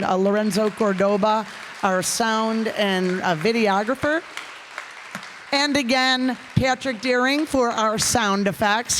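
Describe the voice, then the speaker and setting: Chatty American woman in a reverberant hall. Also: medium pitch. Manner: chatty, rambling, enthusiastic. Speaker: director and actor in a radio theater group. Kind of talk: informal live audience announcements. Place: live performance hall.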